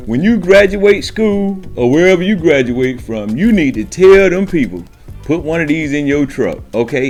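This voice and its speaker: A man speaks at a middle pitch over faint music, like a seasoned trucker schooling new drivers. His delivery is informal and emphatic, with streetwise phrasing and rhetorical check-ins. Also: darkish tone. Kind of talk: informal instructional monologue to camera.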